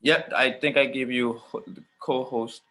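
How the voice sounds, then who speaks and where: A man from Jamaica hosting a casual chat on a video call, halting and often repeating his phrases. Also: mid-range voice, fairly even intonation, pushed and bright. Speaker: host.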